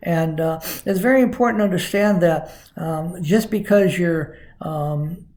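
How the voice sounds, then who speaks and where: A middle-aged man lecturing off the cuff about investigative work. His voice sits a little high for a man. He pauses often, fills gaps with um and uh, and restarts half-finished words. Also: darkish tone. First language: Spanish. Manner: halting, many ums and uhs, frequent restarts. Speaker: criminology lecturer and former investigator. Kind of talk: unscripted lecture.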